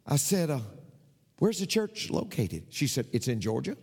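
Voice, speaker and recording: A man preaching a sermon, telling a story and building to shouts. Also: mid-range voice, big pitch swings, moderate pace, gravelly, husky. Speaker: pastor. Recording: long reverb of a hall.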